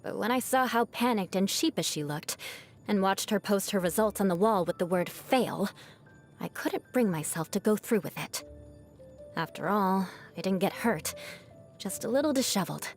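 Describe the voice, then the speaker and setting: A woman voicing a proud young noblewoman knight in a video game, composed and haughty, with formal diction and a grudge-holding edge over a softer heart. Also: mid-range voice, lively intonation, moderate pace, soft background music, natural voice. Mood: exasperated, reluctant. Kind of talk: scripted video game character voice lines.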